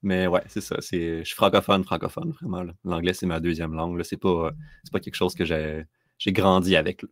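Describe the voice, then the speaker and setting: A Québécois man guesting on a hockey podcast. His voice is very low and sounds dry and close. He talks easily and informally, dropping little tags at the end of his phrases. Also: a little fast, dry recording. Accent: Québécois French. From Quebec, Canada. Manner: relaxed, fills with "là" and "tu sais". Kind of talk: casual interview talk.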